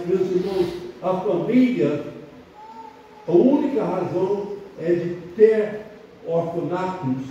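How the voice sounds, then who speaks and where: A man's voice: sits high, warm tone, slight background noise. An older man preaching a sermon in a church, a foreign-born pastor speaking a learned language, emphatic and repetitive. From abroad, not Brazil. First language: not Portuguese. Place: church hall. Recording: very echoey church hall, distant muffled mic.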